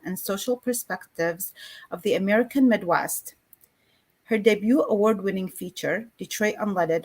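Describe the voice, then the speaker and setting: Woman, interview host, medium pitch, presenting and asking questions. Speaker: host of a weekly discussion series. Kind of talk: online interview, reading an introduction then chatting.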